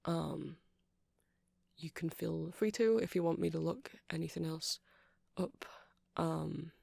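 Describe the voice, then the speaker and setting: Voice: mid-range voice, slow pace, gravelly, a little mellow, slightly rough, no room sound, full character voice. A performer of unclear sex playing a nerdy, eager, apologetic music-shop clerk in an ASMR roleplay, hesitant and rambling. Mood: helpful, rambling. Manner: hesitant, rambling, self-deprecating, nervous little laughs.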